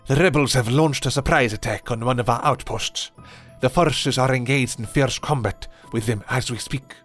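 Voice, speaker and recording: A man's voice: medium pitch, moderate pace, fairly bright tone, faint music behind, natural voice. A man voicing a loyal thrall captain who reports in a clipped, dutiful way. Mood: urgent.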